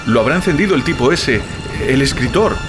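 A man's voice: medium pitch, animated, a little fast, natural voice. A man with a Castilian Spanish accent playing a bewildered, sceptical, foul-mouthed brother in a dramatic audio fiction. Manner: blunt, swearing, dramatic. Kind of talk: Spanish audio drama with first-person narration. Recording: loud music bed under the voice. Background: loud music, storm sounds.

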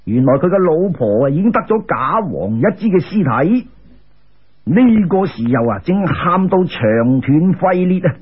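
A man's voice: fairly high pitch, sing-song, measured pace, slightly rough. A man with a Cantonese accent narrating a folk tale dramatically, in an emphatic, colloquial storyteller's manner. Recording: muffled lo-fi radio-style recording.

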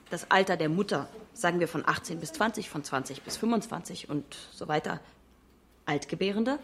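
A woman voicing a translated interview for a radio documentary, conversational and expressive. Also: lowish voice, a little hiss or hum. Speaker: voice-over translator.

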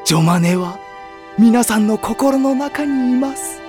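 An actor playing an excitable, gullible teenage boy in an anime audio drama, melodramatic in both saintly politeness and yelling. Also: very high voice, a little slow. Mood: serene, pious. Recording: music behind the voice.

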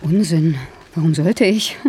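An actress in a radio drama playing an anxious, caring older sister with a guilty streak, speaking naturalistically and intimately. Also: female voice, deep voice, measured pace, dark tone, slightly rough, full character voice. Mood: nervous. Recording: music behind the voice. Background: music.

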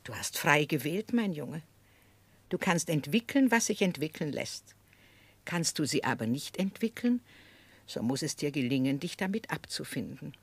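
An actress playing an older aunt, kindly but firm, speaking husky, measured advice in a 1960s German radio drama. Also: female voice, deep voice, very animated, no room sound, full character voice. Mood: gentle, firm advice. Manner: measured, admonishing.

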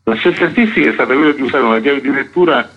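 A man's voice: mid-range voice, sing-song, very fast, narrow, tinny audio, slight background noise. A man being interviewed on radio as a festival curator, explaining articulately in long, flowing sentences. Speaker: artistic director and festival curator, interview guest.

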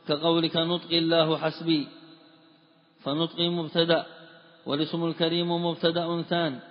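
Man reading in a flat chant. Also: on the high side. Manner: reads in a steady chanting cadence. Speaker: student reading the text aloud. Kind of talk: recitation of a grammar commentary. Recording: dull lo-fi recording with long hall reverb.

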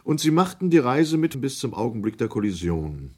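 Male committee examiner, radio play, official and polite, medium pitch with a husky edge. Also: big pitch swings, moderate pace. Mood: formal, brisk. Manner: official, crisp wording, courteous. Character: formal, courteous male committee chairman examining witnesses. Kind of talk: German documentary radio drama, official procedure.

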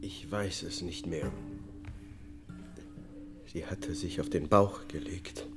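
A slow, low-voiced haunted confessing man. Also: over music. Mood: subdued, haunted. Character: haunted male murder defendant confessing, broken and detached. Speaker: actor in a radio drama. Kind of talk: German public radio drama acting, confessional testimony.

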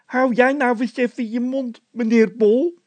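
A man doing a high-pitched, indignant, scornful workman. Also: steady tone, a little slow, darkish tone, dry recording. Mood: scolding. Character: hot-tempered, mocking workman with a scolding streak. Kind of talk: character voice in a read-aloud comic story.

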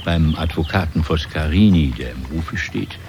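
A man narrating a radio drama in a literary, scripted style with deliberate phrasing. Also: rumbling low, background noise.

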